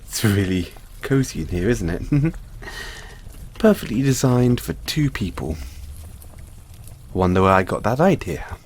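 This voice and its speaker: Man acting a giddy, breathy mad scientist boyfriend. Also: fairly low pitch, measured pace, pauses often. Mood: playful, affectionate. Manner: excitable, theatrical, exclaiming and coaxing. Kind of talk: audio roleplay addressed to the listener.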